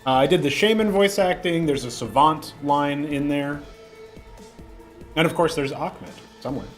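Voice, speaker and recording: A man's voice: fairly high pitch, moderate pace, faint music behind. A young man chatting casually on a livestream, rambling with fillers and restarts, with a lingering cough. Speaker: streamer.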